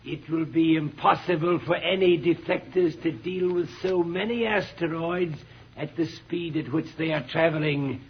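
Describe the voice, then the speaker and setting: Very raspy, calculating radio villain scientist. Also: male voice, fairly high pitch. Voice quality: raspy, gravelly. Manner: measured, formal, deliberate. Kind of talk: 1950s radio serial acting. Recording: dull lo-fi old radio recording.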